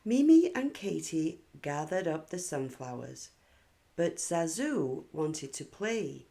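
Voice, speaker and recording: A woman's voice: low-pitched, big pitch swings, slow pace, vocal fry, dark tone. A woman hosting and narrating a children's storybook read-aloud.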